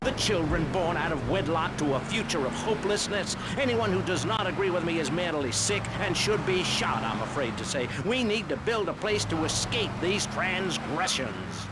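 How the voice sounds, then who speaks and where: An actor playing a pompous, self-righteous firebrand preacher on a satirical radio talk show, sermonizing with bombast and contempt. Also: male voice, a little high, sing-song, moderate pace, few pauses, very noisy. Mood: scornful, angry. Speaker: preacher, panelist.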